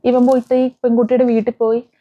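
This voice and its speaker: A woman's voice: fairly high pitch, flat delivery, quick pace. A woman telling a true-crime story informally, in a conversational, explanatory way with English words mixed in. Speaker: host of a crime commentary channel. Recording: dull, muffled lo-fi sound.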